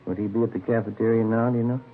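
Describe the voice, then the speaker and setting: A man playing a mild, easygoing police detective in a vintage radio crime drama, with a folksy deadpan manner. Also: fairly low pitch, racing, natural voice. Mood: mildly probing. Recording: tinny, faintly noisy vintage radio recording.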